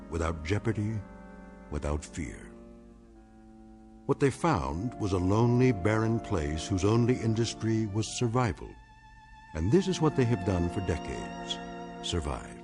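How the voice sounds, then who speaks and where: A grave, all-knowing narrator of eerie science fiction radio drama. His low voice is deliberate and ominous, rising and falling dramatically over prominent music.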